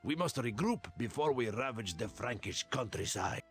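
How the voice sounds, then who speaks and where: A man's voice: medium pitch, lively intonation, moderate pace, lightly acted. A man voicing a commanding, menacing barbarian warrior in a video game, speaking in a declamatory way. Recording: loud music over the voice.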